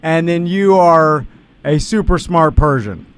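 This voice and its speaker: A man's voice: a little high, unhurried, clear, resonant tone. A man co-hosting a casual radio chat, laughing often and poking fun at himself. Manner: self-deprecating, laughs often. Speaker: radio show co-host.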